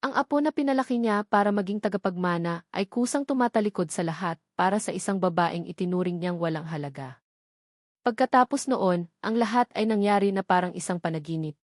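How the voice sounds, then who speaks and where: A woman narrator reading a scripted dramatic story in a measured, solemn storytelling manner. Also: mid-range voice, no echo, studio quality.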